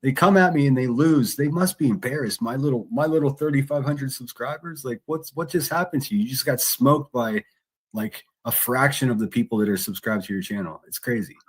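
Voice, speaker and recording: A streamer on a livestream, talking in a rambling, profane way. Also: male voice, mid-range voice, brisk, slightly rough. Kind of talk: casual livestream chat.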